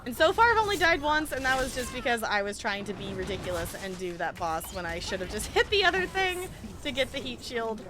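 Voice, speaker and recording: A woman live streaming a game, chatting casually with viewers in an expressive, playful way. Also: on the high side, fairly quick, background noise. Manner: expressive, playful exclamations and pleading.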